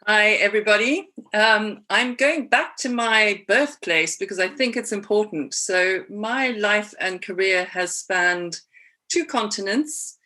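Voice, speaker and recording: A woman's voice: mid-range voice, a little slow, pushed and bright. A woman from Rhodesia introducing herself on an online panel in a chatty, self-deprecating way.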